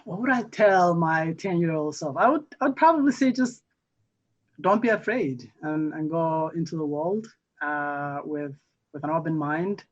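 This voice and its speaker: A young man from Somalia, a newly elected council member, answering interview questions haltingly with many fillers and restarts. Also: on the high side, lively intonation. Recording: muffled lo-fi audio.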